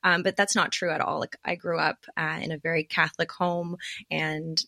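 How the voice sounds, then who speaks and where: A young woman from Canada talks openly and easily as a podcast guest, telling her story in a relaxed, chatty way. Her voice sits low and carries a gravelly fry. The sound is close and dry, with no room around it. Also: moderate pace, no room sound. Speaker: guest sex educator. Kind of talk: casual podcast chat.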